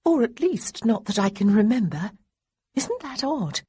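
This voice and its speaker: A woman voicing Honoria, a nervous, tender young gentlewoman from a storybook romance. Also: fairly high pitch, very animated, slightly rough, voice pushed up, heavily acted. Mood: anxious. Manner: fluttery, quick, softly anxious.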